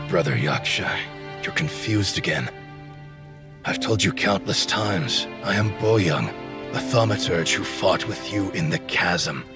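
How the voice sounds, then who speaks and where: An actor playing a weary, loyal mystic fighter in a video game, patient and brotherly. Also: male voice, low voice, animated, takes it slowly, over music, exaggerated voice. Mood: weary patience.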